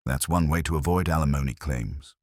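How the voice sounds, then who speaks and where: A man's voice: very deep voice, fairly quick, no echo, studio quality. A man narrating a scripted detective story in a dramatic, wry first-person manner. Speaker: narrator and host of a mystery story channel.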